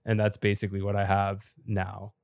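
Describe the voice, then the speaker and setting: A slightly raspy, low-voiced man who is a bit sick and losing his voice. He explains at length in a chatty, filler-heavy podcast style. His delivery stays flat, with very little rise and fall. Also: a little fast, dry recording, studio quality. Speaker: podcast co-host and top competitive player.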